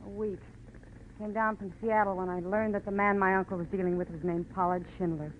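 A woman's voice: mid-range voice, steady tone, a little fast, lightly acted. A brazen, determined young American woman, played by an actress in a vintage radio drama. She speaks in a cool, curt, defiant way on a dull, noisy old recording. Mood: resentful. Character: brazen, determined young femme fatale with a gun.

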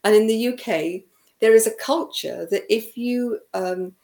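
A woman's voice: on the high side, measured pace, mellow, reverberant. A British woman hosting an interview, speaking thoughtfully and reflectively with some hesitant fillers. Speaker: host.

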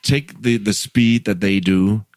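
A man's voice: on the low side, slow pace, dry recording, clean studio sound. A Danish man in his fifties, a radio producer and podcaster, telling his story as a guest with frequent restarts and repeated words, speaking English as a second language. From Denmark. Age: 50s. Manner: repeats words and restarts often, chuckles, animated when quoting himself. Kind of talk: casual interview storytelling.

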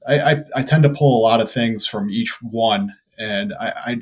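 A man's voice: fairly low pitch, normal speed, husky. A man in his thirties, a vendor guest, talking earnestly with many restarts. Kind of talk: casual chat.

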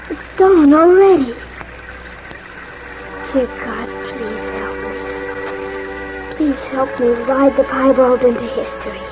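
A woman playing an eager, earnest young horse-loving girl in a 1940s radio drama, speaking breathlessly. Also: a little high. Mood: hushed, pleading. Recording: muffled old 1940s radio broadcast, music.

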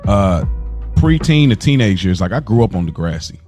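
A man co-hosting a podcast, chatting in a relaxed, rambling way. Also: fairly low pitch, moderate pace, soft background music. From Kentucky, USA. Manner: relaxed, rambling, many fillers and restarts. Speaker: podcast co-host. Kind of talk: casual conversation.

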